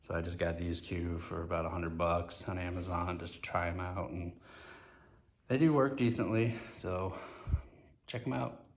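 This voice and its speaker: A middle-aged man gives a casual, unscripted product review in a plain-spoken way, often pausing mid-sentence. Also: low voice. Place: indoors in a garage or workshop. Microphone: handheld phone or camera, speaker off screen.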